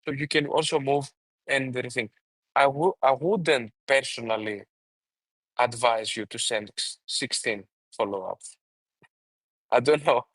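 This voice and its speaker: A man speaks as a mentor in an online seminar, at a middling pitch. He gives advice informally, restarting and rewording his sentences. The sound is close and dry, with little room on it. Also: measured pace, pauses often, a bit bright, dry recording, rough recording.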